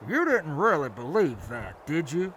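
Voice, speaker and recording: A fairly high, lively-voiced crew leader acted by a man gives steady, decisive cautions in a wasteland radio drama. Faint ambience sits behind the voice. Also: unhurried, a little hiss or hum, heavily acted. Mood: alert, measured. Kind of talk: post-apocalyptic audio drama acting.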